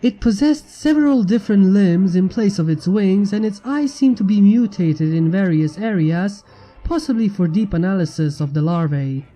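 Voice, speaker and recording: A man's voice: high voice, warm tone. A man reading a fan fiction story aloud as scripted narration. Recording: music bed behind the narration.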